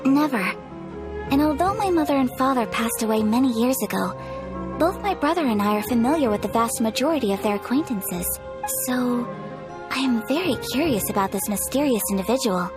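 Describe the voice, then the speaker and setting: A woman voicing a refined, polite young noblewoman in a video game, speaking in formal, measured phrases with earnest reserve. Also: sits high. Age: young adult. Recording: background music.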